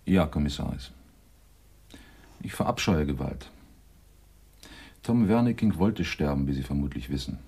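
An actor playing a sly criminal informant in a German radio drama, telling veiled riddles in a deliberate, cryptic storytelling way. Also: male voice, a bass voice, animated, vocal fry, darkish tone, husky, heavily acted. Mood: earnest.

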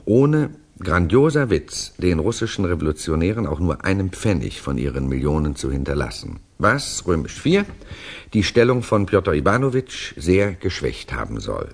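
Wry narrator reading notes, old german radio drama, low raspy-ish husky voice, really animated delivery. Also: male voice, full character voice. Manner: wry, emphatic, commenting as he reads. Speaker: frame narrator.

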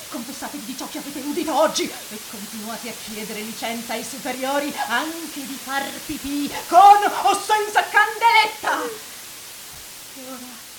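A woman's voice: high voice, noisy background. A woman playing a defiant young male priest, declaiming with sardonic scorn in experimental radio theatre. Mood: furious scorn, then accusing, pleading.

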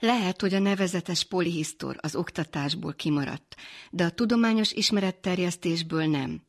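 Lower-pitched woman presenting a programme. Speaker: radio presenter. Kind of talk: scripted narration.

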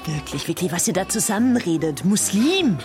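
A woman's voice: on the low side, big pitch swings, moderate pace, a little mellow. Exasperated, defensive woman, music behind. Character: irritable, defensive woman arguing with companions. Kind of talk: naturalistic German radio play acting.

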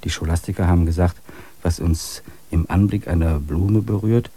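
A man narrating a radio play in a measured, contemplative way. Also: sits low, warm tone, breathy edge.

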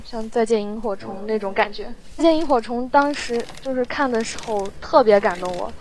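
High-voiced woman chatting reflectively. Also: a little fast, slight background noise. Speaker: audio drama sound producer, interview guest. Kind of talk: casual chat.

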